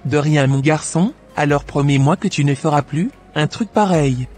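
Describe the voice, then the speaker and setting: A man playing a frank, motherly aunt in an amateur cartoon, husky and measured as he admonishes over music. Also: a little high, darkish tone, natural voice. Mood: helpful. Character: motherly aunt, frank moral adviser, female shopkeeper. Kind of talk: amateur animated drama acting. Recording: background music.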